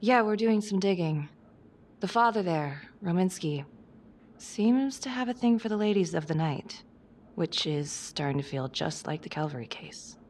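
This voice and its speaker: Low-voiced, tough female detective. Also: animated, normal speed, darkish tone. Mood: businesslike. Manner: blunt, profane, terse. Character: tough, hard-boiled female police detective, blunt and impatient. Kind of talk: dramatic video game acting.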